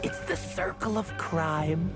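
An actor voicing a wisecracking antihero mercenary in a superhero video game, flippant and theatrical, full of rambling asides. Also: male voice, on the high side, takes it slowly. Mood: flippant, chatty. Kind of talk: video game cutscene acting. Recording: loud music or ambience behind.

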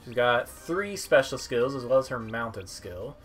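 A man's voice: medium pitch, expressive, a little slow. Young man commentating casually over game music. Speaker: gameplay video commentator. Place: indoor room at home.

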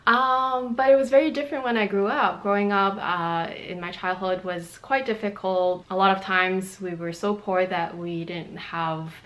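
A young woman from Montreal whose first language is Cantonese, chatting casually to camera in a bright room with lots of fillers and hand gestures. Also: medium pitch, fairly even intonation, moderate pace, few pauses, rough recording. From Canada (Montreal). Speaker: beauty and fashion vlogger. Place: bright bedroom indoors.

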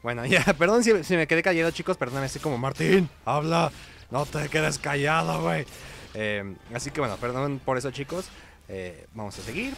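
A man's voice: a little high, animated, a little fast, creaky, fairly bright tone, a little hiss or hum. A man with a Mexican Spanish accent giving casual, chatty gameplay commentary in informal slang as host of a gaming video. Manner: informal, chatty, hums little sound effects.

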